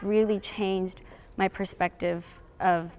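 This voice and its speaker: A woman's voice: mid-range voice, steady tone, slow pace, a little mellow. A young woman giving reflective interview answers in an earnest, emphatic way as a member of a drama cast. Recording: echoey, long room reverb.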